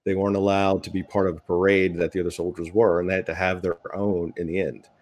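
A man's voice: sits low, little pitch movement, fast talker, darkish tone. A middle-aged man speaking earnestly as a panelist in a discussion. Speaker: panelist, military veteran and writer. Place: indoor room at home. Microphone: video call.

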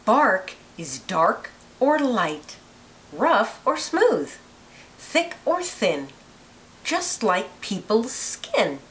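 Female storybook reader, animated and unhurried, deliberate expressive phrasing for young children, slightly hissy recording. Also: on the high side. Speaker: read-aloud narrator, teacher. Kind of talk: children's picture book read-aloud.

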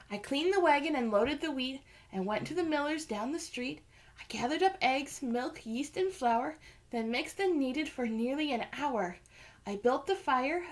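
Woman voicing a hardworking storybook hen, a bit high, patient turning indignant. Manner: earnest, pleading then exasperated. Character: hardworking little red hen, fed-up female.

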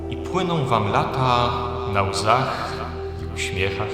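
An actor playing a grave reciter of love poetry in an audio drama, deliberate and solemn. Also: male voice, mid-range voice, expressive. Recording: heavy cavernous echo, loud music.

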